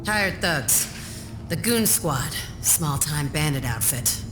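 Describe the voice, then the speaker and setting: A woman voicing a tough, shrewd wasteland leader in a video game, with a brisk, commanding, streetwise manner. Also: low-pitched, animated. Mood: brisk, scheming. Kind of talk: video game cutscene acting. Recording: roomy echo, music behind.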